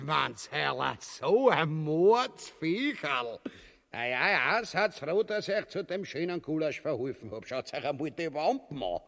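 An actor with an Austrian accent playing a pompous, gluttonous, cowardly tyrant in bombastic dialect for a grotesque comic radio drama. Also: male voice, on the high side, vocal fry, pushed and bright, full character voice. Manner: bombastic, drawling, mock-elevated dialect speech. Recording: long hall-like reverb.

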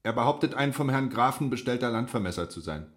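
A male actor as a spiteful young official in a radio play of a literary classic. He sounds scornful and sure of his own rightness, with a mid-pitched male voice. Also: lively intonation, fast, close to an everyday voice. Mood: officious, sceptical. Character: spiteful young village official reporting a suspected vagrant. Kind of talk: German literary radio drama acting.

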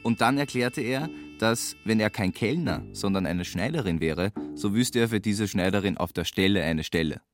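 A low-voiced man tells a literary story in reported speech. Soft music runs underneath. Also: normal speed, hardly any pauses. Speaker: narrator. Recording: music behind the voice.

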